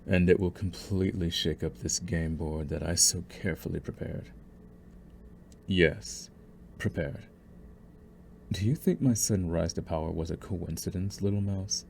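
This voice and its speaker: A low, deep male voice of an actor plays a young mafia boss in an audio roleplay. He is smug and possessive, taunting the listener with theatrical, condescending menace. Some faint noise sits behind the voice. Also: fairly even intonation, unhurried, vocal fry, a little mellow. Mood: gloating, possessive. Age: young adult. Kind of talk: scripted ASMR audio roleplay.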